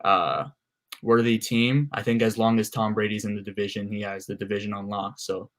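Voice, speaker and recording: A man from Canada, a guest co-host giving casual sports analysis in a steady, measured way with frequent "uh"s. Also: on the low side.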